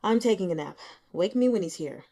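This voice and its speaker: A woman voicing a tired, wry male office boss in an audiobook, brisk, casual and bluntly teasing. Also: on the low side, expressive, dark tone.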